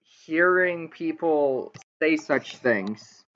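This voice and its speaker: A man's voice: a little high, expressive, drawn-out words, fairly bright tone. A man hosting a casual gaming interview, talking in an informal, rambling, slangy way. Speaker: interviewer, gaming channel host. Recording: dull lo-fi voice recording.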